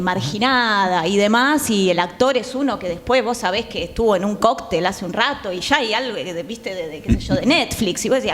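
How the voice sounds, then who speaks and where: A woman with a Rioplatense Argentine accent speaks informally as a panel guest, colloquial and halting, drifting into asides. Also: medium pitch. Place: theatre, speaking on stage. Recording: reverberant hall, faint ambient noise.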